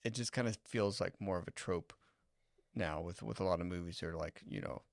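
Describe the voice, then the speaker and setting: A man's voice: low-pitched, brisk, gravelly, no room sound, studio quality. A man co-hosting a casual podcast discussion, thinking aloud in a hesitant, conversational way. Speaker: podcast co-host.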